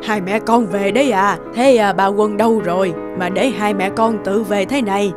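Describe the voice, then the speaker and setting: A woman's voice: medium pitch, steady tone, moderate pace, music in the background, lightly acted. A woman playing a worried, caring grandmother in a cartoon drama.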